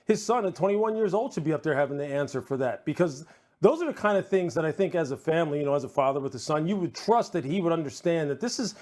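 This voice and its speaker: Male TV sports analyst, slightly high register, sincere and discursive, unscripted delivery with emphatic repetition. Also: expressive, fast talker, a little mellow. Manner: earnest, discursive, repeating phrases for emphasis. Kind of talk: unscripted TV panel commentary.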